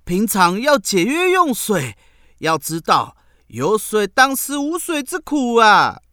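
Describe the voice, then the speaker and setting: A woman with a Taiwanese Mandarin accent playing an excitable, cheeky school child in a children's radio drama, theatrical and exclamatory. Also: mid-range voice, slow pace, no room sound, studio quality, exaggerated voice. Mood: mock earnest.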